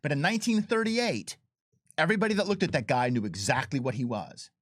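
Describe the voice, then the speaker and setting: A man's voice: a little high, lively intonation, brisk, fairly bright tone, no room sound, studio quality. A man talking casually as a game developer, explaining things emphatically and repeating phrases to drive points home. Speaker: game developer giving commentary. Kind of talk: casual chat, explaining with enthusiasm.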